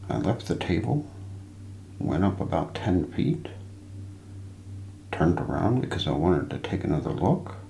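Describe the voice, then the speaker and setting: A man softly narrating mystery stories in an ASMR style, hushed and deliberate, with pauses. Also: low-pitched, sing-song, gravelly, echoey room, a little hiss or hum. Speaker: ASMR narrator hosting a mystery show roleplay. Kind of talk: soft ASMR scripted narration of ghost stories.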